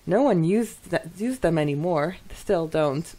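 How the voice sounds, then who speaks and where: A woman with a slightly low voice co-hosts a podcast. She reads listener comments aloud and drops in casual, expressive asides as she goes, in a chatty conversational style. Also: unhurried. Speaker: podcast co-host.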